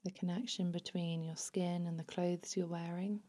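A woman narrating a guided body scan meditation in a soothing, unhurried, hushed manner. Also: on the low side, little pitch movement.

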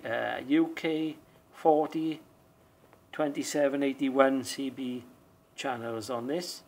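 A man giving a casual hands-on demonstration in an unhurried, plain-spoken way. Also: mid-range voice, a little mellow. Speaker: presenter of a radio demonstration video. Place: small indoor room or shed.

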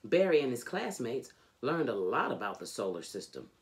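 A middle-aged woman reading a children's book aloud in an expressive, deliberate, emphatic storytelling manner. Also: rumbling low. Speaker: storybook reader. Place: indoors, furnished home room.

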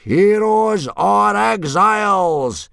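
A man voicing a loud, blustering, bad-tempered Viking warrior instructor in a children's audiobook. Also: low voice, wildly swooping pitch, very slow, husky, no echo, clean studio sound, full character voice. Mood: yelled command. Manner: bellowing, blustering, drill-sergeant pomp.

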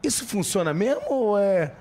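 Brief: energetic middle-aged Brazilian host, slightly high voice, studio handheld mic. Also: male voice, normal speed. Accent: Brazilian Portuguese. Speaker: podcast host. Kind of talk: casual podcast talk with live ad reads. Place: podcast studio.

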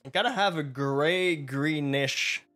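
A man's voice: fairly high pitch, slow, a bit bright, soft background music. A man playing a tabletop game on a video call, hesitant and stammering. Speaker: player.

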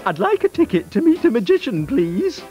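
Man putting on an eager, high-voiced female cow. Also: huge pitch swings, normal speed, a little mellow, highs cut off, soft background music, exaggerated voice. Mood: dreamy, eager. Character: curious, eager, polite young female blue cow. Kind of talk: children's storybook character voice.

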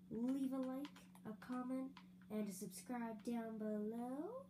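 A young woman chats slowly through a building game, giggling and trailing off between fillers. Her voice is slightly raspy, and a steady hum sits under the recording. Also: high voice. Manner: chatty, giggly, rambling with fillers. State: slightly raspy, throat-clearing. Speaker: gaming video creator. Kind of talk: casual let's-play building commentary. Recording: audible hum behind voice.

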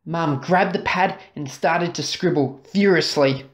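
A woman narrating a children's story expressively, dramatic and deliberate, drawing out key words. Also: sits low. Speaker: storybook reader.

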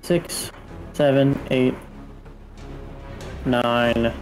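A man's voice: mid-range voice, fairly even intonation, very slow. A man playing a tabletop game, casually counting and calling his moves aloud. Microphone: online group video call. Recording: music behind the voice. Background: music.

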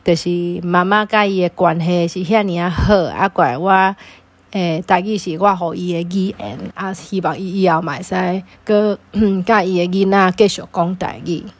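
A woman's voice: lowish voice, steady tone, moderate pace. A woman with a Taiwanese accent, talking casually as a parent being interviewed about personal experience.

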